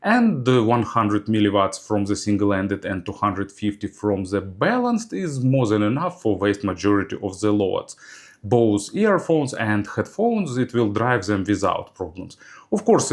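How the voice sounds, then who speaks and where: A man reviewing audio gear in halting, non-native English, often hesitating mid-sentence as he gives his commentary. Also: lowish voice. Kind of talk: semi-scripted product review commentary.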